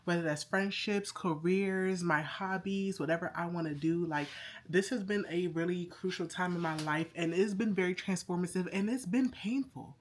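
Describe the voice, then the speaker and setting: Candid woman in her thirties, low-pitched. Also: slight background noise. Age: 30s. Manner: candid and heartfelt, drawn-out emphasis. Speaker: vlogger. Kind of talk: casual confessional vlog.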